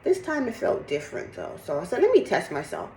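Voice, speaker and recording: Slightly raspy, low-voiced woman in echoey room. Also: wildly swooping pitch, a little fast, a little mellow, slight background noise. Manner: candid, rambling, conversational. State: sick with congested cough. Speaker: fitness vlogger. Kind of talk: casual chat to camera, personal update.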